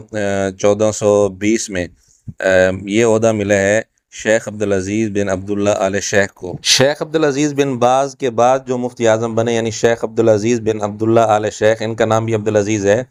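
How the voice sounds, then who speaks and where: A man's voice: lowish voice, clean tone, no echo, clean studio sound. A man giving an explanatory rebuttal as a religious scholar, speaking methodically and emphatically and repeating his points. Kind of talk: explanatory rebuttal commentary to camera.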